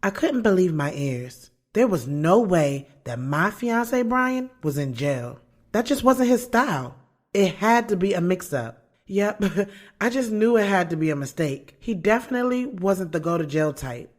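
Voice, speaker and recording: A woman's voice: on the low side, sing-song, measured pace, a little mellow. An actress with an American accent playing a blunt, sarcastic young woman writer, narrating her love life in a slangy, conversational audio drama style. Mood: reflective. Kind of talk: first-person scripted narration in an audio drama. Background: music under the voice.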